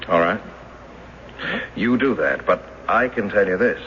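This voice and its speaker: An actor playing an earnest, stubborn husband with a deliberate manner in a mystery radio drama. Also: male voice, low-pitched, a bit bright. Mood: defiant, tense. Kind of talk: old-time radio drama acting. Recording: muffled noisy radio drama recording.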